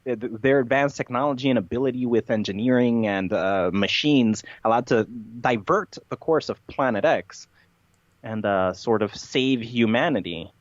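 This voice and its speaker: A man speaking as a podcast guest, telling a rambling story in a matter-of-fact, halting way with repeated words. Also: medium pitch, no room sound, highs cut off.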